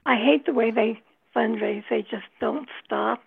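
A woman with a gravelly, husky voice, chatting casually in a group over a thin phone-like line. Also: a little high, measured pace.

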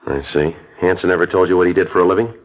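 An actor playing a terse American police detective in a 1950s radio crime drama, clipped and matter-of-fact, both in dialogue and narration. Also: male voice, sits low, fairly even intonation, fast talker, close to an everyday voice. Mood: politely probing. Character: terse, businesslike male police detective who narrates his case. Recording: dull old radio broadcast recording.

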